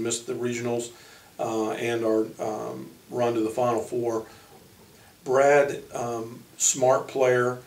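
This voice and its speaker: A man who coaches college basketball, giving informal player profiles in a halting way, pausing mid-sentence. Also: medium pitch, darkish tone. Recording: roomy echo, distant microphone.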